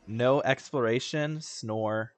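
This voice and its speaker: A man's voice: mid-range voice, slow pace, no room sound. A man casually commenting over a video game he is playing, bored and sarcastic, dismissive of what is on screen. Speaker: gaming streamer. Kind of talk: casual let's-play commentary.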